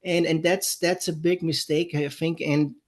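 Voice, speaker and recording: A man with a German accent presents a technical webinar in a slightly higher-pitched voice. He talks in a loose, conversational way, often restarting his sentences and filling pauses with "uh". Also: little pitch movement. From Europe. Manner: conversational, many fillers and restarts. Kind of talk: unscripted technical lecture. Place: San Diego, California.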